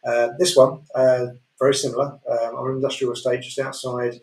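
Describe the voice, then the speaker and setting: A man with a Yorkshire accent presenting auction lots in a relaxed way, full of fillers. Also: medium pitch, a little fast. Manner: relaxed, many fillers, chuckles. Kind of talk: casual chat describing property lots. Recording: echoey room, distant mic.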